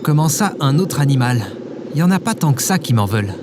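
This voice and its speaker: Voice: medium pitch, sing-song, fairly quick, very noisy, lightly acted. An actor playing a hapless, defensive man in an audio drama, an informal and grumbling worrier. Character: hapless, defensive male archive keeper, affectionate worrier. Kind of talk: scripted audio drama acting.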